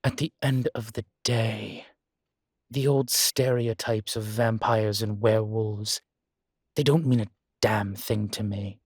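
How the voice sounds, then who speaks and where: A man playing a guilt-ridden, centuries-old vampire in an audio drama, speaking gravely and formally with weary, deliberate pauses. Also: on the low side, darkish tone, no room sound, clean studio sound. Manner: slow, grave, deliberate phrasing with long pauses. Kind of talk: dramatic audio roleplay acting.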